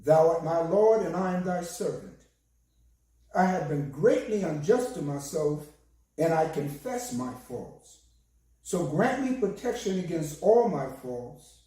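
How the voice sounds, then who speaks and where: A hoarse man, a minister, giving a slow and solemn sermon with a recited prayer. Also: on the high side, warm tone. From United States. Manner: slow, measured, solemn delivery. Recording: very echoey, distant from the mic.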